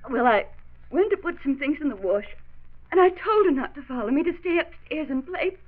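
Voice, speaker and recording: A woman's voice: high voice, animated, brisk. An actress playing a loving, anxious young mother in a 1940s radio drama. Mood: distraught. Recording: muffled vintage 1940s radio recording.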